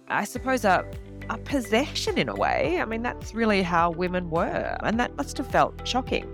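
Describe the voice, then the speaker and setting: A woman novelist answering interview questions in a reflective, explanatory, conversational way. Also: fairly low pitch.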